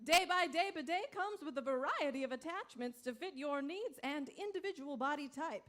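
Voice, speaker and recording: A woman's voice: sits high, animated, moderate pace, a bit bright. A woman playing a chirpy, pushy saleswoman in a parody radio commercial. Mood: peppy, salesy. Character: peppy, pushy infomercial saleswoman.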